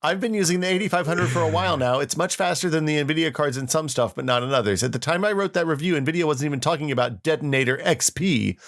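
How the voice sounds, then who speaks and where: A man's voice: a little high, fast talker, few pauses, a bit bright, pitched above the performer's own voice. A man reading an old forum post as a smug, know-it-all tech reviewer, chipper and lecturing. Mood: chummy, smug. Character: his younger self, smug know-it-all tech reviewer posting online.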